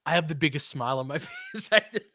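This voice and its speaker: A young man doing live play-by-play commentary, excitable, with quick asides and frequent laughs. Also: a little high, no echo, clean studio sound. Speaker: fan play-by-play host.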